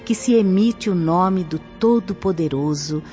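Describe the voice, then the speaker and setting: Actress as a mellow, solemn spirit teacher. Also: female voice, lowish voice, lightly acted. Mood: solemn, reverent. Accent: Brazilian. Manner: reverent, declamatory, measured. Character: Rosália, solemn gentle female spirit teacher instructing pupils. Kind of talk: Brazilian radio drama acting. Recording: dull lo-fi audio under music.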